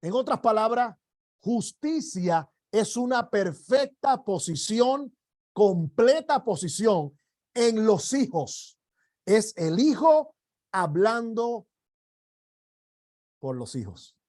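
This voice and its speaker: Man, sermon, emphatic declarations, drawn-out delivery, high voice. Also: sing-song. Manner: slow, emphatic preaching with rising shouted declarations. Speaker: apostle teaching a ministry class.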